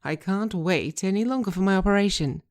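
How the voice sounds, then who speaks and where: A woman's voice: mid-range voice, fairly quick, close to an everyday voice. A woman voicing a desperate, stubborn, middle-aged female actor who speaks bluntly and insistently in a screenplay reading. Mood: desperate, pleading.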